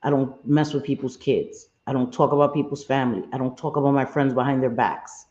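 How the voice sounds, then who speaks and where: A woman with a husky smoker's voice hosting a live stream, talking bluntly and earnestly, often repeating herself, with some salty language. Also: rumbling low, steady tone, a little fast, a little mellow. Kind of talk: candid livestream chat, reading chat comments. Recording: muffled roomy home stream audio.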